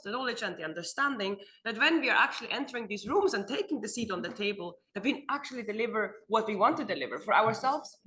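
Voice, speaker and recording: Young swiss woman on a panel, earnest, lots of ums and likes, bright voice. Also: mid-range voice. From Switzerland. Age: young adult. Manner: earnest, with frequent fillers like "um" and "like". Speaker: panel speaker. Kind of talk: roundtable talk. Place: conference room.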